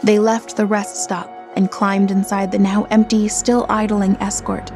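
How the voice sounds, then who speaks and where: A woman's voice: medium pitch, level tone. A woman narrating a scripted horror story in a dramatic, suspenseful manner. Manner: slow, dramatic, suspenseful delivery. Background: music.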